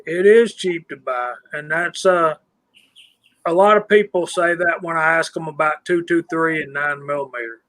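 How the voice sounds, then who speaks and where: A man in his fifties co-hosting a casual live stream chat, relaxed and self-deprecating as he asks guests questions. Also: on the high side, normal speed, rough recording. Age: 50s.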